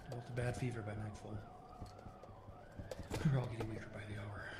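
A man's voice: lowish voice, little pitch movement, natural voice. Man playing weathered folksy storyteller, roomy sound. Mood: somber. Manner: folksy, halting, solemn storytelling in clipped sentences. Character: weathered Ojibwe male storyteller recounting grim survival tale. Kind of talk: radio horror drama monologue. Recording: roomy, clear background noise and ambience.

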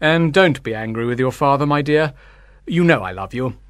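A man's voice: on the high side, lively intonation, brisk, bright, forceful tone, no room sound, clean studio sound. A man playing a stubborn, authoritative father in acted audiobook dialogue. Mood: gentle. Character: firm, stubborn father of two daughters.

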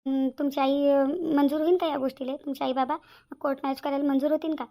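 A woman's voice: high-pitched, flat delivery, quick pace, warm tone. A woman with a Varhadi Marathi accent voicing a selfless, earnest young bride-to-be in a cartoon family drama. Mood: worried. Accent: Varhadi Marathi from Vidarbha. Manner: earnest, repeats phrases emphatically. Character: anxious, selfless young bride-to-be, tenderly devoted.

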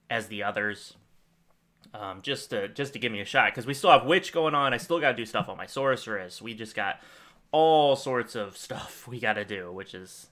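A young man hosting a gaming channel, thinking aloud in rambling casual commentary while he plays, recorded at a desk in a small room at home. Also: fairly low pitch. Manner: rambling, thinking aloud, lively swings in loudness.

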